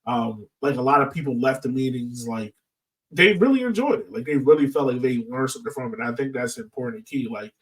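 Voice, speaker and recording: A man's voice: medium pitch, expressive, fairly quick. A man from the southern United States chatting casually on a sports podcast, thinking aloud as he explains his points. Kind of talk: casual discussion. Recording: distant from the mic.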